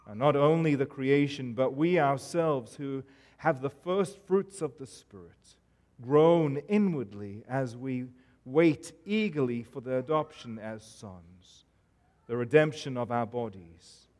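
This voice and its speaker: A man's voice: mid-range voice, slow pace. A man in his forties from South Africa, whose accent is a South African and American mix, preaching a sermon as guest pastor in an earnest, friendly manner with frequent "uh" fillers. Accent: South African mixed with American. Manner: warm, earnest, self-deprecating pulpit delivery with frequent fillers.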